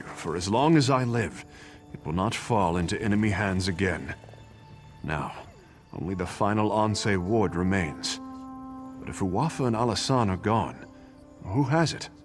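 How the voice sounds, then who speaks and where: Deep, animated noble game leader. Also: male voice. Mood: pondering. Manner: formal, dramatic, deliberate phrasing. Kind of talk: video game dialogue acting. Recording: game music behind the voice.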